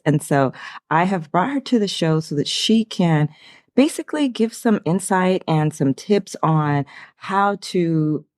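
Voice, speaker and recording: A woman's voice: deep voice, dry recording, clean studio sound. A woman hosting a podcast, interviewing a guest in an upbeat, encouraging way. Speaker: podcast host.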